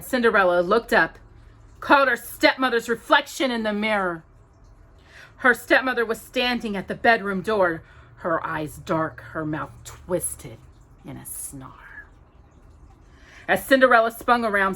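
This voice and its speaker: A woman's voice: medium pitch, a bit bright. A woman reading a children's story aloud with dramatic, emphatic narration. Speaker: storytime reader.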